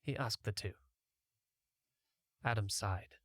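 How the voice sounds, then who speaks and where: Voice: mid-range voice, takes it slowly, fairly bright tone, dry recording, studio quality. A narrator reading a scripted first-person story as an audiobook.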